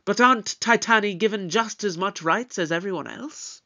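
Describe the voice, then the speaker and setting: A man playing a shrewd, authoritative detective in an audio drama, with a pompous, deliberate, probing delivery. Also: high voice, pushed and bright. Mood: suspicious, brisk. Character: shrewd, authoritative male time-travelling detective, pompous and suspicious.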